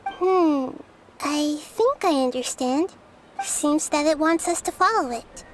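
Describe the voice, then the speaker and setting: A woman voicing a gentle, wise child-sized goddess in a video game, soft and thoughtful in delivery. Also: high voice, animated, slow pace, a little hiss or hum. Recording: game music behind the voice.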